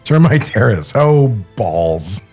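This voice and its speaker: Lively man chatting on a comedy podcast. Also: lowish voice, slow, husky, soft background music. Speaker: podcast co-host and cartoon critic. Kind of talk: casual joking chat during a script table read.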